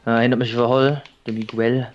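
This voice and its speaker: A man in a team voice chat during a racing match, animated and quick to react to every hit. His voice sits at a mid pitch. The audio is a bit dull and muffled. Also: a little hiss or hum. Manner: animated, reacts loudly to hits and items. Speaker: team player. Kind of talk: casual voice chat during an online race.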